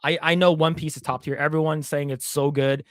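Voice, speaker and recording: A man's voice: a little high, little pitch movement, fairly quick, dry recording, studio quality. A young man co-hosting a podcast, chatting in a rambling, blunt way with casual swearing. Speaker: podcast co-host. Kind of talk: casual chat.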